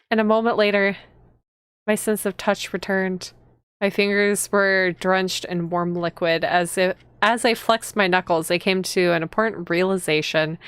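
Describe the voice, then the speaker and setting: A woman's voice: mid-range voice, clean tone, dry recording, studio quality, natural voice. A woman voicing a shaken young noblewoman who tells her story in a dramatic first-person visual novel reading. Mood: dazed.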